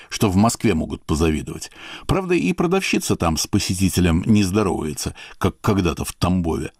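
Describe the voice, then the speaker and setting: A male host reading a measured, literary narration and then putting a question to a guest. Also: sits low, darkish tone, no echo, studio quality. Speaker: radio program host. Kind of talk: scripted narration then interviewing.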